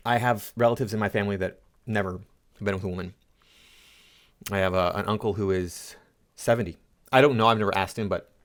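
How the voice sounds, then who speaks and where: Low-voiced blunt male commentator. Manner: opinionated, blunt, talks straight to viewers. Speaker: commentary YouTuber. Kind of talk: casual reaction commentary.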